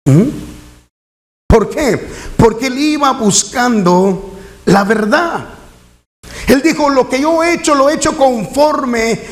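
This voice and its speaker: A man's voice: extremely high pitch, slow pace, pauses often. A middle-aged man from Mexico, a pastor preaching a sermon, often addressing his listeners and asking them questions. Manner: preaching with a rhetorical refrain and questions to the congregation.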